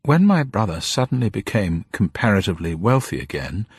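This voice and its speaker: A man's voice: fairly low pitch, big pitch swings, fairly quick, breathy edge, dry recording, studio quality, pitched above the performer's own voice. A man voicing a little old upper-class woman, genteel, formal, grieving and proud, in an audiobook story. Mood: solemn confiding. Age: older. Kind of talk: dramatised audiobook character voice.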